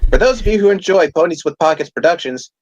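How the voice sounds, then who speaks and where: A man's voice: a little high, animated, quick pace, no room sound, muffled recording. A man hosting a casual panel talk and moving it along through news items. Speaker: podcast host.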